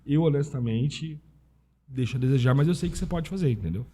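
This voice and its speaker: Mellow, husky Brazilian man chatting. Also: mid-range voice, fairly quick. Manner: informal, anecdotal, peppered with tag questions. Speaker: podcast guest, Italian citizenship specialist. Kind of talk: casual conversational interview.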